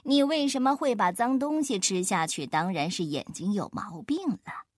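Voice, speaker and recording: A woman playing a sly, witty trickster man in a children's story, with a deadpan, teasing manner. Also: mid-range voice, unhurried, no room sound, clean studio sound.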